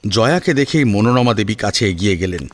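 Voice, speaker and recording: A man narrating a scripted family drama in an expressive, suspenseful storytelling manner. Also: lowish voice, brisk.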